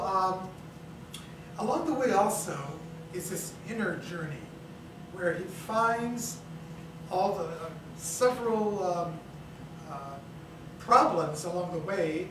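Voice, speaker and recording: A man's voice: sits high, recorded from a distance. A man co-presenting a staged reading, explaining off the cuff and searching for his words. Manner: hesitant, searching for words, repeats himself. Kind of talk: unscripted spoken introduction. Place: indoor theater, staged reading. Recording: echoey, noisy theater recording from off.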